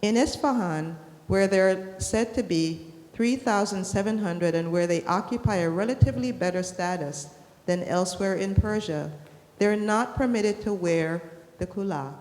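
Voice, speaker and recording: Woman, reading aloud to a class, low voice, roomy reverberant hall. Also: darkish tone. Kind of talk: reading a historical book aloud. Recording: echoey hall, long reverb.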